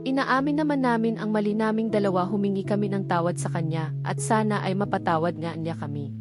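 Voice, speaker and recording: A woman's voice: mid-range voice, fairly quick, natural voice. A woman with a Filipino accent voicing an earnest, hurt young woman confiding at length in an audiobook story. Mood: forgiving, sad. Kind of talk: audiobook dialogue. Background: background music.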